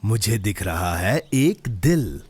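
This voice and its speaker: Slow, lively, gentle cartoon companion. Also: male voice, lowish voice, husky, dry recording, exaggerated voice. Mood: playful. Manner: kindly, encouraging, explaining simply. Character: gentle, reassuring grown-up friend and companion of a child. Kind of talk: Hindi children's cartoon dub.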